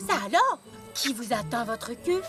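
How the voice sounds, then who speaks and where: A woman's voice: a little high, big pitch swings, measured pace, music in the background. A woman voicing a snobby, gushing schoolgirl pony in a cartoon dub, with affected, theatrical delivery. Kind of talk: French cartoon dub acting.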